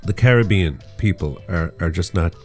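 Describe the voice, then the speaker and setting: A man's voice: deep voice, lively intonation, normal speed, soft background music. A man co-hosting a casual podcast, joking and rambling as he explains island slang. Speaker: podcast co-host. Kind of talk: casual chat.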